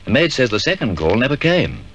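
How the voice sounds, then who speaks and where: A man's voice: medium pitch, wildly swooping pitch, fairly quick, a bit bright, husky, exaggerated voice. A man playing a diligent, deferential police sergeant in a 1950s radio drama, respectful and inquiring. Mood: dutiful. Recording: dull, hissy 1950s radio recording.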